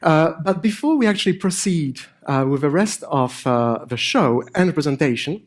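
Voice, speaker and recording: Male conference speaker, middle-aged, French accent with a Polish hint, slightly high voice, informal, hesitant delivery with dry humour. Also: expressive, moderate pace. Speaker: conference speaker, AI researcher and improviser. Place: on stage at a conference.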